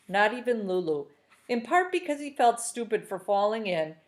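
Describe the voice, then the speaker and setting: A woman teacher talking to her class and reading a novel aloud in an upbeat, encouraging, steady storytelling manner. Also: mid-range voice.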